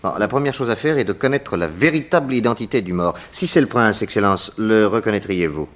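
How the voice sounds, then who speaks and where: Decisive private detective, lowish voice, brisk and polite, old French radio serial. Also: male voice, expressive, a bit bright. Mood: inquisitive, decisive. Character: brisk, confident male detective, courteous and decisive. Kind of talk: 1960s French radio mystery serial acting.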